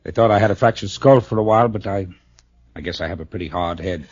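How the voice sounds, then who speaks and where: An actor playing a troubled, doubting but affectionate husband in a 1940s radio drama, hesitant and earnest. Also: male voice, low voice, fast talker, no room sound. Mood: weary. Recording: dull, dry vintage radio recording.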